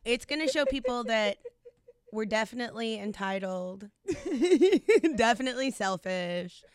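A podcast co-host, a man, cracking jokes at his own expense in a high, childlike voice. His banter is quick and self-deprecating, and the studio sound is close and dry with no room in it. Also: lively intonation, smooth, no room sound. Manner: joking, self-mocking, says he uses a high baby voice on the podcast. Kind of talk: casual comedic chat.

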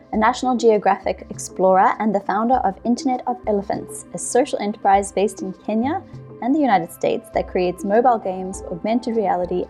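A woman's voice: mid-range voice, a little mellow. Woman hosting a podcast interview. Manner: scripted intro, then friendly questions. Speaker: podcast host.

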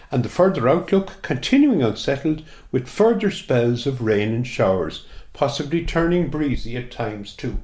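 A man's voice: medium pitch, a little mellow. Irish man reading weather, roomy echo. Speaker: weather forecast reader. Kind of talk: scripted radio weather forecast. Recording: roomy, echoing sound.

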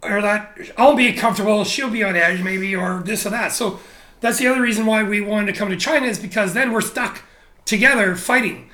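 A man being interviewed, talking casually and candidly about his career, animated and blunt with frequent restarts. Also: high-pitched, a little fast, echoey room. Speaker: interview guest, photographer. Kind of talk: casual conversational storytelling.

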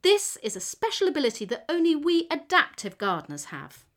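A woman's voice: high voice, wildly swooping pitch, brisk, fairly bright tone, heavily acted. A woman playing a friendly, proud T cell gardener who explains things patiently in a children's educational audio story. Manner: explanatory, teacherly, encouraging.

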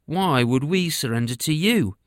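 A man's voice: mid-range voice, lively intonation, unhurried, dry recording, studio quality, close to an everyday voice. A man voicing an arrogant, mocking male enemy captain in a storybook reading, drawing out his taunts. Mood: taunting. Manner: taunting, sneering.

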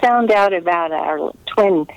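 A woman's voice: lowish voice, takes it slowly, dry recording, low-quality recording. A woman chatting casually as an author being interviewed, gracious and enthusiastic in the way she talks.

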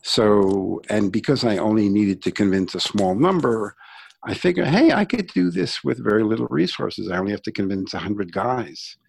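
American man, middle-aged or older, deep gravelly voice, reflective, conversational interview answers, halting delivery. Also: a little mellow. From Brooklyn, New York, United States. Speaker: interview guest, sustainability entrepreneur.

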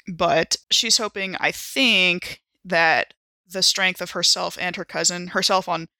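A woman with a bright, forward voice talks through her moves in a tabletop game. She is informal and thinks aloud. The recording is dry and close. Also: lowish voice, fairly even intonation, measured pace, no echo. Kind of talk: casual game chat.

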